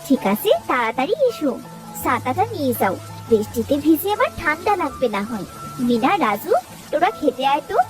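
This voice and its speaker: A woman voicing a caring, practical village wife and mother in a cartoon, coaxing in a homely, expressive way. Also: high voice, fairly quick, over music. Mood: caring, bossy. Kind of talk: Bengali moral-story cartoon voice acting.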